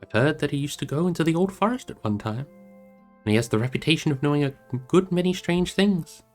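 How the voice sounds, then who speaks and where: A man voicing a brisk, practical young male hobbit who speaks in a direct, probing way in a storybook reading. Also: fairly high pitch, expressive, faint music behind, pitched above the performer's own voice, close to an everyday voice.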